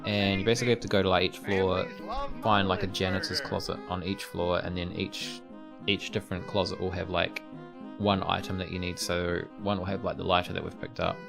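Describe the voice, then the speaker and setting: Gravelly young man thinking aloud. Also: low-pitched, flat delivery, brisk, over music. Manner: rambling, thinking aloud with fillers. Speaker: streamer playing a game. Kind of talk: casual let's-play commentary.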